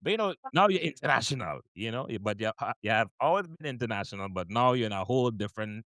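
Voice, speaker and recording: A man's voice: mid-range voice, very animated, fast, fairly bright tone, dry recording, clean studio sound. A man hosting a podcast, asking casual, rambling interview questions. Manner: rambling, many fillers and restarts. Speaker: podcast host.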